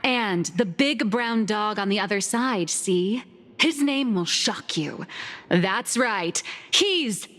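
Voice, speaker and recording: A woman's voice: medium pitch, expressive, slow, fairly bright tone. A woman playing a theatrical young comic artist in an anime game, lecturing like a self-assured, mock-scholarly teacher. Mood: storytelling. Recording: long reverb, music behind.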